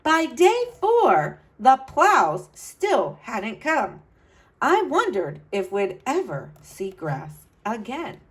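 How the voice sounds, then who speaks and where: A woman's voice: on the high side, exaggerated voice. A woman reading a storybook as an earnest, adventurous young boy who tells his own tale dramatically. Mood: grave, dramatic.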